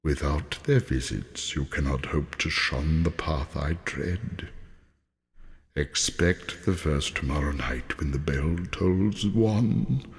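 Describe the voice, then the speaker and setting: A man voicing a solemn, mournful male ghost who gives grave warnings in a breathy, hushed voice. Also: extremely low pitch, exaggerated voice.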